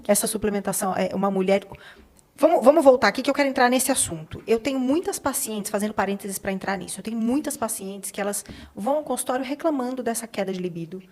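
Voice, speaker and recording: A woman's voice: fairly high pitch, fast, darkish tone. A Brazilian woman hosting a podcast interview in a curious, conversational way, asking follow-up questions. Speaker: podcast host; health practitioner who sees patients.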